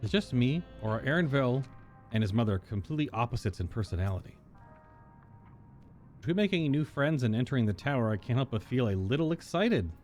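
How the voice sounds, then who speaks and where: Male streamer, medium pitch, voicing through on-screen game text aloud, soft music bed. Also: sing-song, a little fast. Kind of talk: live game playthrough, reading dialogue aloud with casual asides.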